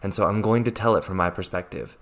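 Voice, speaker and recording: Deep-voiced earnest American man. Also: fast, a bit bright. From Virginia, USA. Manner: earnest, reassuring, repeats himself. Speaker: mindset coach, podcast host. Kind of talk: solo podcast monologue.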